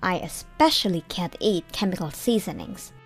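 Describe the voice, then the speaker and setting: A woman's voice: lowish voice, lively intonation, music in the background. Young woman narrating a manga story. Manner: measured storytelling, plain and earnest. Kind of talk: scripted first-person narration.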